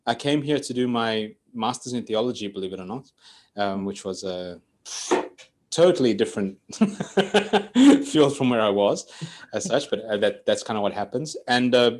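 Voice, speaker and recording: A man's voice: medium pitch, expressive, moderate pace, pauses often, a little mellow. Laughing man in his forties from Greece. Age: 40s. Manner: chatty, laughs often. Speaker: podcast guest, coach and trainer. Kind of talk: casual storytelling interview.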